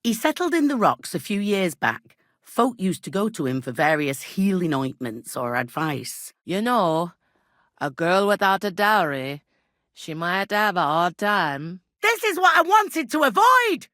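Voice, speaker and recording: Brusque medieval tavern woman for a game: lowish voice, very lively intonation, earthy and plain-spoken. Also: no echo, studio quality. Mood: matter-of-fact, brisk, then angry, exasperated. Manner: colloquial, earthy rustic speech with folksy idioms. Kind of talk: video game character dialogue.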